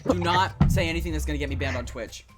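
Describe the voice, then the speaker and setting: A woman's voice: sits low, fast talker, over music. A woman hosting a livestream call-in show, talking bluntly and swearing freely as she reacts. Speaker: host. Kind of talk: casual livestream chat.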